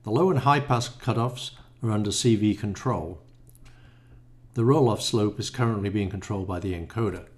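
A man's voice: lowish voice, normal speed, noisy background. Male, 60s, technical presenter, hesitant explanatory delivery, echoey small-room sound. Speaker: module designer presenting a demo. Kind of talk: explanatory product demo, semi-improvised. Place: small home studio room, indoors. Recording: roomy, echoey home room.

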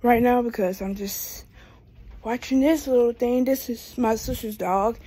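High-voiced young American woman rambling. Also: unhurried, warm tone, no room sound. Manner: rambling, talking while pacing on foot. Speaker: vlogger. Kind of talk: casual rambling vlog chat. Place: small room indoors at home. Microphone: phone at arm's length.

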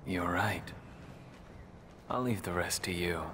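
A mysterious, brooding young man, solemn and measured in a video game. His voice is low and breathy, with clear ambience behind it. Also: noisy background. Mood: grave. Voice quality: breathy, hushed.

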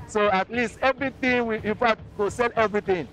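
A man's voice: sits high, steady tone, a little fast, a bit bright, soft background music, rough recording. A man in his thirties with a Nigerian accent promoting his stall in a casual interview. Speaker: cosmetics stall vendor. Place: outdoor market stall under tent. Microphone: handheld interview microphone. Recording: busy outdoor crowd, traffic.

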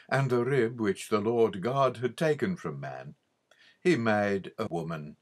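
A man narrating an old religious audiobook in a formal, measured way with emphatic stresses. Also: lowish voice. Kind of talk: scripted audiobook reading of an old religious book.

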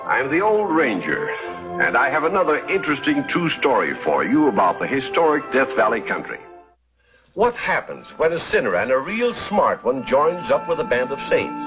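A man's voice: medium pitch, sing-song, normal speed. Folksy older male TV narrator. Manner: folksy, storytelling. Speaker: host and narrator. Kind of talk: scripted TV narration. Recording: dull old TV soundtrack under theme music.

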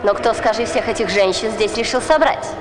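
A woman's voice: mid-range voice, brisk, crowd noise, lightly acted. Curious Greek woman played by an actress over music. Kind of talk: theatrical verse acting in a film. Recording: long hall reverb under music.

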